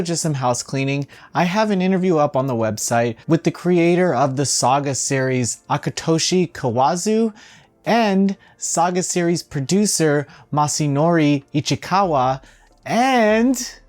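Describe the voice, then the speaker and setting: Casual gaming news host, mid-pitched voice, conversational delivery with a sarcastic, exasperated edge. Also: a little mellow. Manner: sarcastic, exasperated asides with swearing. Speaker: host of a video game news show. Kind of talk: casual video news commentary.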